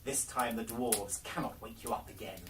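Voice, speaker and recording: A man's voice: lowish voice, fairly even intonation, moderate pace, recorded from a distance, a little hiss or hum, natural voice. A man voicing a wicked, envious queen in a storybook tale, full of rage and gloating.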